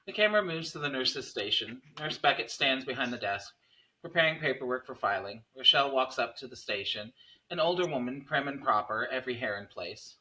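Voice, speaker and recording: Male narrator for a screenplay table read, very bright, sharp tone, scripted delivery. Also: mid-range voice, lively intonation, fairly quick, rough recording. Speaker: narrator reading stage directions.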